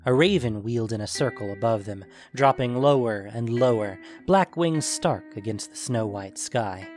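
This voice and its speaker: A man's voice: medium pitch, moderate pace, darkish tone. A man reading scripted fantasy story narration as the narrator. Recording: music behind the voice.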